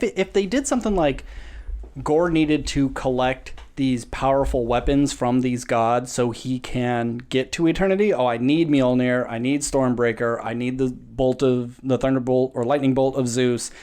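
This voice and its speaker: Animated man rambling in casual chat. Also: medium pitch, unhurried. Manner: rambling, animated, stumbles on words. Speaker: podcast co-host. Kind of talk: casual film review chat.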